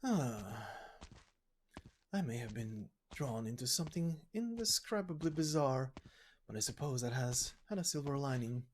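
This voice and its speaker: A man voicing a polite, old-fashioned, fretful but genial gentleman traveler from a game story, speaking in formal, theatrical phrases. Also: medium pitch, expressive, moderate pace, a little hiss or hum, close to an everyday voice. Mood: brooding. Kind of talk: video game story voice acting.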